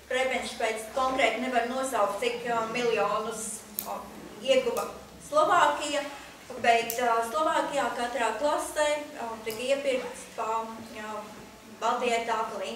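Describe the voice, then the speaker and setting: Echoey distant woman interpreter. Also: fairly high pitch. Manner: measured, with frequent fillers. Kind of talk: consecutive interpreting. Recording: echoey hall, distant mic, faint noise.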